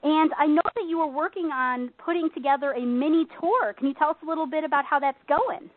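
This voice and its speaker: High-voiced gushing woman host. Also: fast, dry recording. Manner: gushing, effusive praise. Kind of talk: interview show hosting. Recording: dry tinny phone-line sound.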